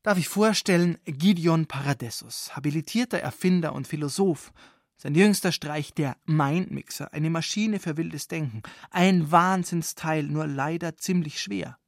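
A man with a fairly high, creaky voice in a dry, close studio sound. He presents satirical radio narration as a host, wry and ironic, tossing out rhetorical questions. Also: unhurried, no room sound.

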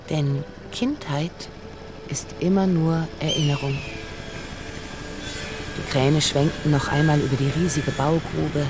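Actor as high-pitched wistful male narrator over music. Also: natural voice. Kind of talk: literary radio drama narration.

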